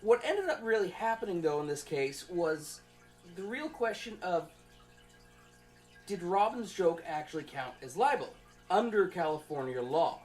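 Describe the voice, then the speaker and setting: A young man giving an informal student presentation from notes, in a halting, conversational way. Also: high voice, sing-song, darkish tone. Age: young adult.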